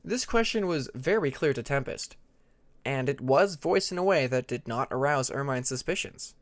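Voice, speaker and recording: A man's voice: medium pitch, expressive, normal speed, dry recording. A man reading a scripted story aloud as a dramatic audiobook narrator. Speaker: audiobook narrator of fan fiction.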